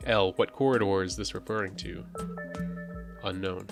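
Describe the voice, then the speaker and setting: Low-voiced man musing through a game. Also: a little slow. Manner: musing aloud, often questioning. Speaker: host of a game playthrough channel. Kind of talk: casual game playthrough commentary, reading on-screen text aloud. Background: music.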